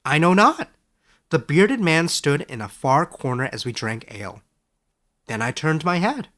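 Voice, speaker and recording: A man voicing an earnest, excitable young former student in a storybook, eager and quick to defend himself. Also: a little high, voice pushed up. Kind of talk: storybook character voice in audiobook narration.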